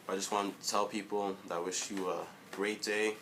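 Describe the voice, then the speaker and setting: A young man giving a casual motivational vlog monologue, halting, with many fillers and restarts. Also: lowish voice, flat delivery, noisy background. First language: English. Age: young adult. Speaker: vlogger.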